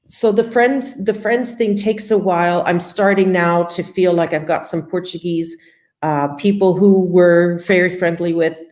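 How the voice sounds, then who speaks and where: Casting note: talkative Canadian woman, lowish voice, roomy echo on the track. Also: fairly even intonation, measured pace, a little mellow. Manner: chatty, many fillers, acts out quoted exchanges. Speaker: guest. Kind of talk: casual interview chat.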